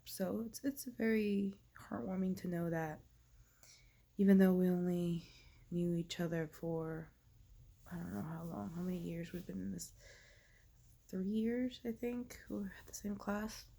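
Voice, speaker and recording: A woman in her thirties, from Taiwan, who has a cold, talking slowly and haltingly in a casual personal monologue, slipping now and then into a whisper. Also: on the low side, creaky, warm tone. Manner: halting, many ums and restarts, drifting into whispers. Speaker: vlogger.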